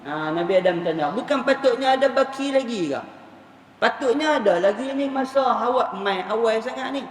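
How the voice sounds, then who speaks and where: High-voiced Malaysian preacher in an echoey hall. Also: male voice, expressive, moderate pace. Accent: northern Malaysian Malay. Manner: colloquial storytelling, acting out dialogue, repeating phrases for emphasis. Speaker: Islamic preacher. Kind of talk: sermon retelling religious stories to an audience. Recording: echoey hall, distant mic, faint noise.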